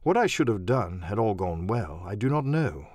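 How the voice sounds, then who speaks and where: Male narrator, scripted dramatic storytelling, audiobook, husky voice, dry close recording. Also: fairly low pitch, very animated, normal speed, darkish tone, dry recording.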